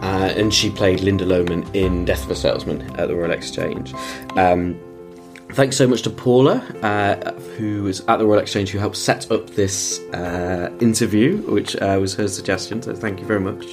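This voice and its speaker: Halting male podcast host over music. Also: deep voice, steady tone. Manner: halting, many fillers. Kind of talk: podcast intro.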